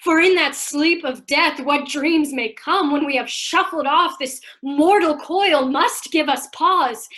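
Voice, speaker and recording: A woman reciting classical verse in a high voice. She delivers it slowly and in a measured, brooding way, at a theatrical pace. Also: fairly bright tone. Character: woman performing a tragic stage soliloquy.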